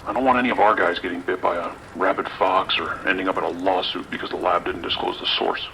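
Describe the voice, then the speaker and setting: Low-voiced American lieutenant male actor, forceful, clipped, dryly sardonic, naturalistic audio drama, faint noise behind. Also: steady tone, fast, creaky, full character voice, low-quality recording. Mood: firm, businesslike. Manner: clipped, commanding, dry.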